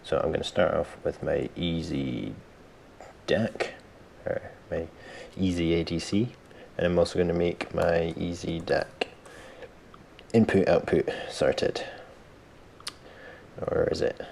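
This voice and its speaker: A man presenting a software tutorial step by step, informally thinking aloud as he explains. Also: low-pitched, slow pace, gravelly, slight background noise. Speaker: tutorial host.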